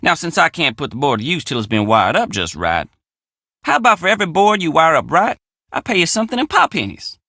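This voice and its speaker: A man's voice: a little high, big pitch swings, brisk, bright, forceful tone, dry recording, clean studio sound, full character voice. An actor playing a friendly, plainspoken young country handyman with an American drawl in a video game. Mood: upbeat, eager. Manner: folksy, plainspoken country phrasing. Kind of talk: video game character dialogue.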